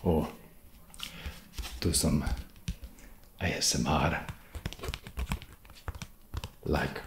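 A young man playing an eager vampire housemate with a put-on foreign vampire accent, soft-spoken, halting and deadpan in a comic ASMR roleplay. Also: a bass voice, echoey room, a little hiss or hum, exaggerated voice. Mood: awkwardly welcoming. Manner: halting, deadpan, awkwardly friendly. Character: awkward, eager male vampire housemate desperate to seem trendy.

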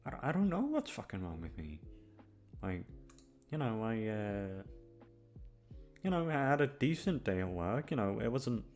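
Low, creaky young man rambling casually. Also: big pitch swings, a little slow, long pauses, darkish tone, faint music behind. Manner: hesitant, self-deprecating, mildly sweary. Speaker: audio roleplay creator. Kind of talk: casual rambling chat to listeners.

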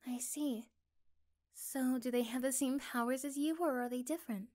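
A woman playing a caring, curious young girlfriend in a soft-spoken, tender ASMR roleplay, breathy at times. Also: sits high, steady tone, moderate pace, lightly acted. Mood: curious, gentle.

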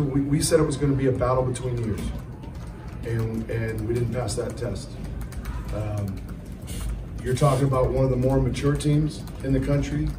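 A middle-aged man, a head basketball coach, giving measured answers at a postgame press conference, often repeating words as he thinks. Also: medium pitch. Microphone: table mic, recorded from the audience. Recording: echoey room, far from mic, noisy.

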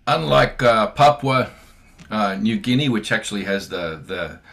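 A man's voice: fairly low pitch, measured pace, creaky. Joking Australian man hosting a video call. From far north Queensland, Australia. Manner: playful, joking, relaxed. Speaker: host. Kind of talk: casual video call chat. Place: home room.

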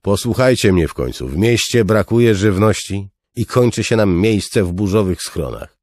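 A man's voice: lowish voice, expressive, normal speed, a little mellow, dry recording, pitched above the performer's own voice. A man voicing a firm, weary city guard captain in an audiobook, insistent and exasperated.

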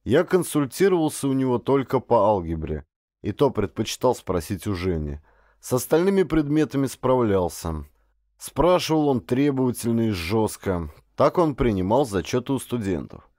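A man narrating a first-person literary story as an audiobook, with expressive, acted storytelling. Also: medium pitch, moderate pace, dry recording, clean studio sound.

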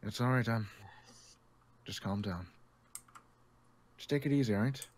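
A man playing a calming mediator among zombie survivors in improvised roleplay, speaking in a placating, measured way. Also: on the low side. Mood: soothing.

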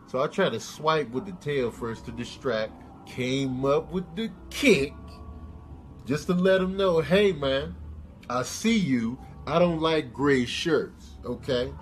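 A man voicing a proud, unbothered elephant who explains himself in a deadpan way in a comedy dub. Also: mid-range voice, natural voice.

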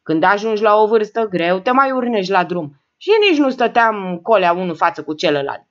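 Woman reader plays whining elderly aunt, rambling, wide pitch swings, muffled lo-fi. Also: lively intonation, natural voice. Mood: plaintive. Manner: plaintive, rambling. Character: frail old woman, tremulous and whining.

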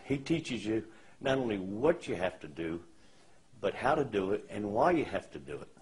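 Older plain-spoken man, lowish voice. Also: very animated, normal speed. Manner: plain-spoken, repeating words. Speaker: customer testimonial. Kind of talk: testimonial to camera. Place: indoors, high-rise room with window view. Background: soft music.

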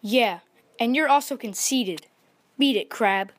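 This is a little male chameleon from a children's story , acted out by a woman. The voice sits high and small. He is vain and grouchy, boasting to cover up how unsure of himself he is. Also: expressive, normal speed. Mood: annoyed. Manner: boastful, defensive.

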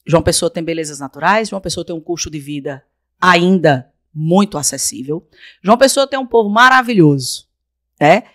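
A woman in her forties from Paraíba, Brazil, a guest on a studio podcast, talking emphatically into a desk boom mic and repeating phrases for effect. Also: expressive, moderate pace, dry recording. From Brazil, raised in Paraíba. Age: 40s. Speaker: guest, council candidate. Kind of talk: podcast interview. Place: small podcast studio with blue-lit backdrop. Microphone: desk mic on boom arm.

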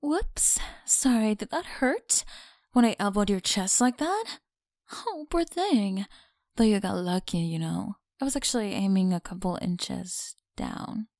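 A woman's voice: medium pitch, lively intonation, measured pace, darkish tone, dry recording, studio quality. A young woman with an American accent playing a mean, teasing college bully in an ASMR audio roleplay, mocking and slangy. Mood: mock sympathy, teasing. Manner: mocking, sighing, casual slangy talk. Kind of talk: ASMR audio roleplay, one-sided dialogue.

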